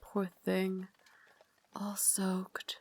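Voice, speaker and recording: A woman playing an elegant, caring and gently commanding Victorian vampire lady in an ASMR roleplay, speaking softly, formally and with doting refinement. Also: medium pitch, completely flat delivery, extremely slow, breathy edge, exaggerated voice. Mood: doting, composed, then tender, hushed. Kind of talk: ASMR roleplay, slow intimate scripted acting.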